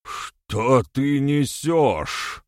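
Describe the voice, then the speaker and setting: A man's voice: deep voice, lively intonation, very slow, pushed and bright, no room sound, studio quality, exaggerated voice. A man voicing a spiteful, cowardly pagan sorcerer in an audiobook, indignant and blustering.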